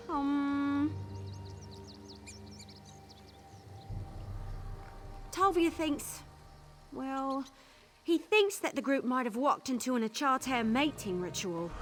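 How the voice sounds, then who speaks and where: A spirited young female scout from a fantasy game, speaks in a high, very lively voice. She is chatty and mischievous, yet protective of her comrades. Music plays underneath. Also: heavily acted. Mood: hesitant, gossipy. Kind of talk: video game character acting. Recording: game dialogue over background music.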